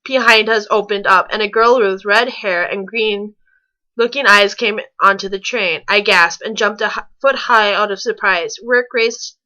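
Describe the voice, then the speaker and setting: A woman's voice: mid-range voice, steady tone, measured pace, bright, forceful tone, muffled recording. A woman reading a story aloud, stumbling and rereading lines as she goes and dropping in mocking asides. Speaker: reader on a fan fiction reaction show.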